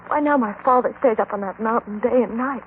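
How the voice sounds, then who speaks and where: A woman's voice: on the high side, a little fast, natural voice. A woman playing an earnest, anxious young daughter of an inventor in an old-time radio western. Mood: worried. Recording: tinny, noisy old radio recording.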